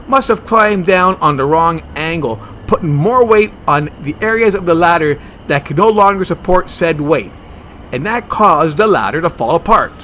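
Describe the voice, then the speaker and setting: An actor playing a brash, stubborn, wisecracking monster hunter in a comedy horror audio drama. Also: male voice, fairly high pitch, bright, forceful tone, a little hiss or hum. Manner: brash, argumentative, sarcastic.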